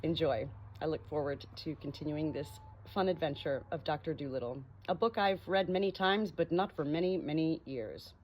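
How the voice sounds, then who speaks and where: A woman reading aloud as an audiobook narrator in an expressive storybook style. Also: low voice, moderate pace. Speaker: audiobook reader. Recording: clearly noisy home recording.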